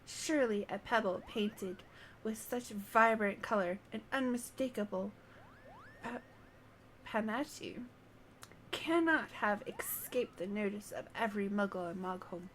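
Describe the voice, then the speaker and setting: Woman as a pompous little moogle painter, measured pace. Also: mid-range voice, a bit bright, slight background noise, close to an everyday voice, poor audio. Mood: pompous, urgent. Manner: pompous, grandiose wording. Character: self-important moogle painter, small boastful artistic creature.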